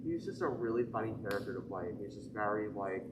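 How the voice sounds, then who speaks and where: A man's voice: lowish voice, fairly even intonation, quick pace. A teenage boy, a student actor, talking loosely with lots of fillers. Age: teens. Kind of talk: casual panel talk. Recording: distant mic, noisy.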